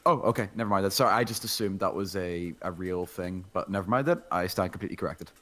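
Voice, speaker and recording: A man from outside the United States speaking casually in a group discussion, tentative and quick to correct himself. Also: deep voice, fairly bright tone.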